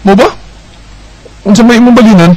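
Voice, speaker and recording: A man's voice: very high voice, brisk, a little mellow, dry recording, rough recording. A man with a Bisaya accent playing a weary, plain-spoken young labourer in an amateur radio drama. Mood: hopeful.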